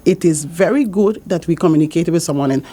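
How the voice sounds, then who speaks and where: A woman's voice: on the low side, quick pace, mellow, slight background noise. Earnest woman with a Dutch accent. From Saint Martin, Caribbean. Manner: earnest advice, repeats key points. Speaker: business support consultant. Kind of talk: interview answers.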